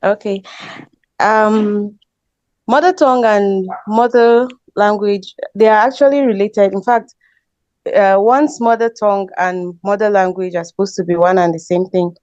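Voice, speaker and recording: A Nigerian woman, a linguist on a panel, explains her point steadily, pausing on filler sounds. Her voice is mid-pitched and close. The line is dull and lo-fi. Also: steady tone, darkish tone, no echo. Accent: Nigerian English.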